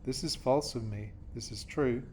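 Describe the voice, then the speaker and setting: A man from Australia teaches a lesson in a patient, step-by-step way. His voice sits at a middle pitch and he keeps checking that his students follow. A faint background noise runs under the recording. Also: moderate pace, a little mellow. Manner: patient, checks in with "okay?" and "yeah?". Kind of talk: classroom lesson explanation.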